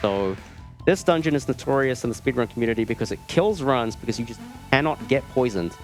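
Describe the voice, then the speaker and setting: A man talks in a casual, wry way as he plays a video game for an audience of viewers, often cutting his own sentences short. His voice sits at a middle pitch, with faint game music underneath. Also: animated, fairly quick. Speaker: speedrunner playing and commentating. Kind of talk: live gameplay commentary, casual. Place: gaming marathon event, streamed.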